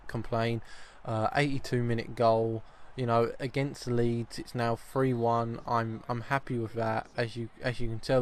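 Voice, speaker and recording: A man, slightly croaky with a cough, giving chatty football video game commentary and often repeating his first words. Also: mid-range voice. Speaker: gaming channel host.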